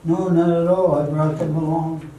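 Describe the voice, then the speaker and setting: A man playing an eager, polite, easily flustered gentleman in an amateur radio drama, recorded echoey and distant. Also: on the high side, level tone, moderate pace, natural voice. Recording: echoey, distant mic, faint room noise.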